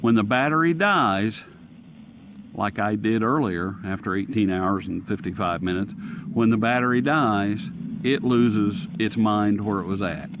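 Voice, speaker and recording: A man with a fairly low voice talks through a hands-on demonstration. He is folksy and informal, thinking aloud as he goes. Some faint noise sits behind him. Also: unhurried. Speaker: radio reviewer and host. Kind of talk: casual hands-on gadget demonstration. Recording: clear static and radio noise behind.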